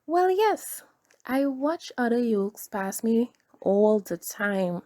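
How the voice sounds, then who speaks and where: A woman voicing a slow, grateful storybook creature who is kindly and encouraging, with an earnest, reassuring manner. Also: fairly high pitch, big pitch swings, dark tone.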